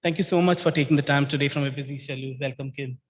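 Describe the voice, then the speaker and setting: A man's voice: medium pitch, steady tone. Man hosting in a reverberant hall. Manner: measured, halting, with frequent fillers and restarts. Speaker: event host. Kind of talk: scripted announcements and introduction. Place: large event hall.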